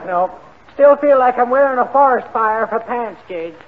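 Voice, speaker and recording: An actor playing a plaintive, hapless hired farmhand in a 1940s radio comedy. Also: male voice, very high-pitched, moderate pace, slight background noise. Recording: tinny 1940 radio broadcast.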